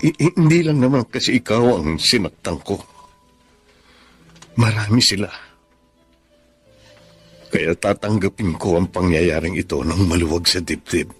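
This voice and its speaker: An actor playing a remorseful former lover in a radio drama, speaking solemnly and earnestly over music. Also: male voice, low-pitched, animated, unhurried, long pauses, breathy edge, full character voice. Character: remorseful man, former lover seeking forgiveness, humbled. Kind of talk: Tagalog radio drama acting.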